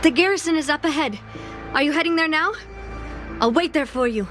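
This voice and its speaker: A woman's voice: high voice, brisk, natural voice. A woman voicing a weakened, grateful wounded survivor in a video game, faltering with relief. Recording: music under the voice.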